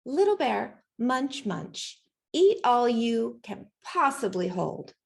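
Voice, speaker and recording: Woman voicing a high-pitched mother bear in a storybook. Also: sing-song, gravelly, exaggerated voice. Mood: coaxing. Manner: exclaiming, drawn-out emphasis. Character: startled mother bear searching for her cub.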